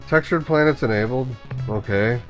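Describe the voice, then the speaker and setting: A man giving a casual step-by-step software tutorial, informally thinking aloud as he goes. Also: medium pitch, moderate pace, faint music behind. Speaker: tutorial host.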